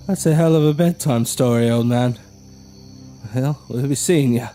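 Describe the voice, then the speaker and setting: A man's voice: mid-range voice, slow pace, warm tone, faint music behind, close to an everyday voice. An actor playing a brash, skeptical young man in a horror audio drama, blunt, slangy and sarcastic. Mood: scornful.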